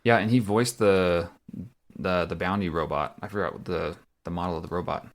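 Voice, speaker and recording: A man's voice: deep voice, fairly even intonation, brisk. A young man co-hosting a movie podcast in casual chat, rambling with fillers and easy laughs. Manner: chatty, lots of fillers and laughs. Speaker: podcast co-host.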